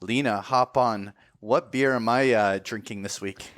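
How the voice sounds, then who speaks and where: A man's voice: medium pitch, measured pace, a bit bright, no room sound, studio quality. A man co-hosting a podcast, talking casually with lots of fillers, restarts and easy swearing. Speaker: podcast co-host.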